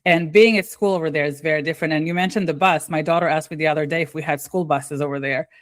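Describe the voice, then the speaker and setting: A woman from Israel hosting a podcast interview, friendly and quick to laugh, asking long questions. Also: low-pitched, steady tone, no echo, clean studio sound. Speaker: podcast host.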